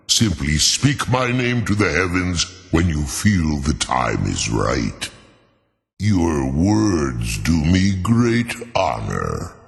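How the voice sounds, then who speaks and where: Ancient male dragon, low gravelly rumble, formal and portentous, cavernous reverb, fantasy game. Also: full character voice. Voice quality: deep, gravelly. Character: ancient solemn male dragon, mighty and proud.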